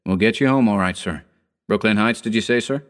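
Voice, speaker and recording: A man's voice: fairly low pitch, fairly quick, lightly acted. A man voicing a polite, plain-spoken, helpful working-class taxi driver in an audiobook. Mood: obliging.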